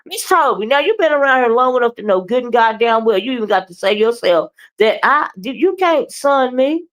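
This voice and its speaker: A woman with a loud, boisterous voice, ranting bluntly in a live commentary and keeping her listeners in line with constant checks of "okay?" and "right?". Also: on the high side, expressive, a little fast. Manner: blunt, profane, keeps asking "okay?" and "right?"; moody, confrontational.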